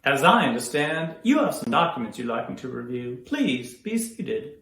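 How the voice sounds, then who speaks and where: A man's voice: mid-range voice, big pitch swings, moderate pace, creaky, fairly bright tone. A man acting a businesslike lawyer in a period drama, with a formal, official manner. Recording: echoey room.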